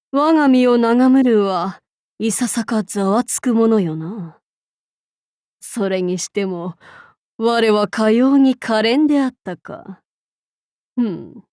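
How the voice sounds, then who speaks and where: A woman's voice: a little high, a little mellow, no echo, clean studio sound. A woman voicing an imperious, haughty demon-king warlord in a mobile game, speaking in pompous, archaic lordly Japanese phrasing. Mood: musing, haughty.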